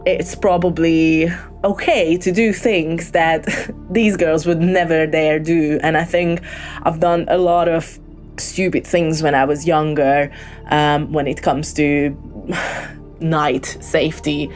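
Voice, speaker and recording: A woman's voice: deep voice, steady tone, takes it slowly, darkish tone, soft background music. A woman telling a true story in a voicemail, hesitant and full of ums and restarts. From Hungary. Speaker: caller leaving a voicemail. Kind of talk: casual spoken true story.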